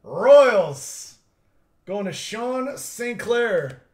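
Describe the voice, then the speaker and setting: A man's voice: sits high, very animated, darkish tone. A young man hosting a live trading card break, joking with viewers over player names and calling out pulls. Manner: playful, joking with viewers over name pronunciations; drawn-out hype calls of big pulls. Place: indoor studio at a table.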